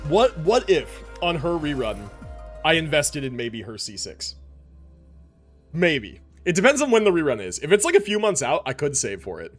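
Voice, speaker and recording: A man's voice: on the high side, wildly swooping pitch, moderate pace, soft background music. A man hosting a livestream, chatting casually with viewers in an informal way and often repeating phrases for emphasis. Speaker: live streamer host.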